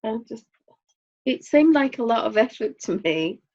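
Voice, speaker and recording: A woman's voice: on the high side, lively intonation, moderate pace, a little mellow. Northern English woman co-host, easy rambling chat, dry wit, lo-fi dull sound. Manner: chatty, rambling, dry humor. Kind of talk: casual chat. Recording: dull lo-fi audio.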